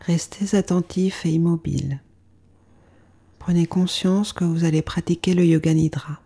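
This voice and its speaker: A woman leading a guided meditation as a yoga instructor, speaking in a calm, soothing way with soft phrase endings. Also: fairly low pitch, normal speed, breathy edge.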